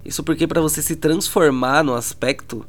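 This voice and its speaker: A man's voice: fairly high pitch, moderate pace. A Brazilian man narrating a tabletop roleplay as game master, with informal, slangy speech. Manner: colloquial, slangy. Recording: music behind the voice.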